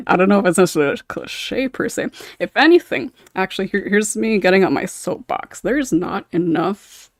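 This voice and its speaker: Woman, lowish pitch, giggly casual host, chatty, close dry sound. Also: a little mellow, no echo. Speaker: podcast host. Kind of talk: casual panel discussion.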